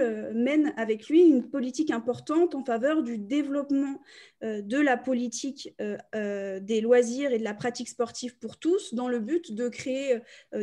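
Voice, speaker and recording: A young woman researcher giving an academic seminar presentation, reading from a prepared text and pausing often with hesitations. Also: a little high, dark tone. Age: young adult.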